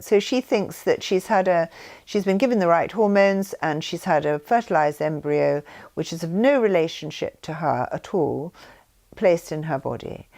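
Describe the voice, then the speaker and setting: A woman's voice: fairly low pitch, gravelly, darkish tone. A woman giving an informal explanatory talk with anecdotes, rambling and often breaking off to rephrase mid-sentence. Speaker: speaker sharing personal beliefs.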